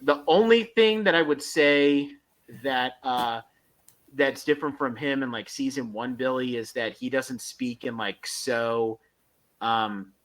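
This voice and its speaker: A man co-hosting a casual fan review podcast, talking in a rambling, halting and enthusiastic way. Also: medium pitch. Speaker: podcast co-host.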